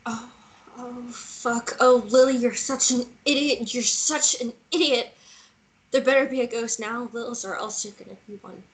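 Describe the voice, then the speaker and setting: Woman as impulsive teen girl in trouble, high-pitched, dramatic table read. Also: steady tone, unhurried, slightly rough, low-quality recording. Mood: pained, rueful. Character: impulsive, self-scolding teenage girl in distress. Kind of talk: table-read dialogue acting.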